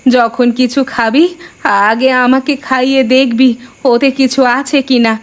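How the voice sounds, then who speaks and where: A woman's voice: high-pitched, little pitch movement, measured pace. A woman playing a protective, proud old village mother in a cartoon, homely and folksy in manner. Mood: worried, tender. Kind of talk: Bengali cartoon voice acting. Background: music.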